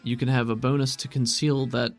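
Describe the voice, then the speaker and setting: A man working as game master, narrating scenes in a measured, descriptive way. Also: medium pitch, little pitch movement, faint music behind. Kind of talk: narrating a tabletop roleplaying game.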